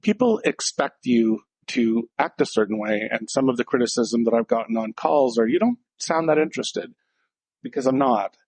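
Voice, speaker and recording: Brief: a man of medium pitch, a blunt and direct podcast co-host, dry close sound. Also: moderate pace, few pauses, a little mellow, slightly rough, no room sound. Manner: direct and blunt, acting out little dialogues in different voices. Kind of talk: casual conversational podcast.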